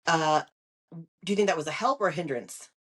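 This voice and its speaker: A woman's voice: low voice, a little fast, fairly bright tone, slightly rough. A woman hosting an interview, asking questions and giving short supportive replies. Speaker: podcast host. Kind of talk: conversational interview.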